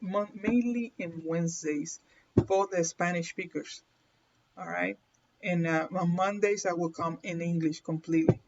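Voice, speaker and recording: A woman with a Puerto Rican accent, hosting a live card reading in a chatty way and often checking in with her viewers. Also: fairly low pitch. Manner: chatty, keeps checking in with "okay?", laughs often. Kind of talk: casual livestream chat and reading. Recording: dull lo-fi stream audio.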